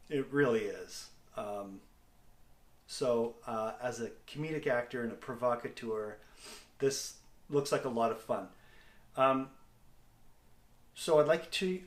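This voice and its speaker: Canadian guy doing a casual video diary, kinda halting and self-deprecating, roomy echo on it. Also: medium pitch. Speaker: comedic actor and video diary host. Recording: echoey, roomy sound.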